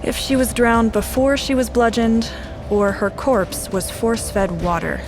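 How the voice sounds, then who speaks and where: An actress playing a sharp, composed special agent in an audio drama, speaking in terse, clinical fragments like a profiler examining a scene. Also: female voice, on the high side, a little slow. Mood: clinical, focused. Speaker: investigator. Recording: music behind the dialogue.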